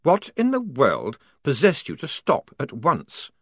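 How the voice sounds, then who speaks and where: A man's voice: medium pitch, huge pitch swings, measured pace, slightly rough, no room sound, clean studio sound, pitched above the performer's own voice, full character voice. A man voicing a nervous but defiant young army lieutenant in a storybook, with precise, officious speech. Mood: pleased, probing.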